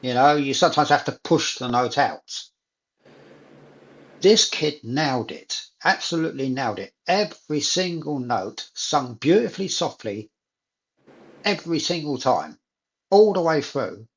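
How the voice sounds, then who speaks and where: A man's voice: on the high side, expressive, a little slow, muffled recording. A man in a casual chat, talking enthusiastically and repeating phrases for emphasis.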